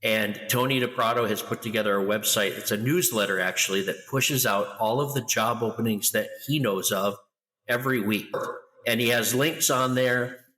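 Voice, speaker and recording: A middle-aged man talking loudly and with lively energy as a guest in an interview. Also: medium pitch, normal speed, long reverb tail, low-quality recording. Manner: loud, animated storyteller. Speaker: guest, international school educator. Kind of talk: conversational interview.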